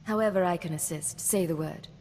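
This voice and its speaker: An actress plays a self-assured female intelligence officer with a slightly low voice. She is cool, brisk and wry in scripted game dialogue, heard with long reverb over some background noise. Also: a little mellow, close to an everyday voice. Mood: businesslike. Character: confident, wry female imperial intelligence agent, commanding officer.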